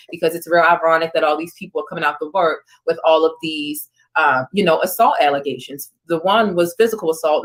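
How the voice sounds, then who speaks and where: A woman hosting an online news stream, reading screenshots aloud and commenting in a conversational, informal way. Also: on the low side. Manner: conversational, informal, with asides and reactions.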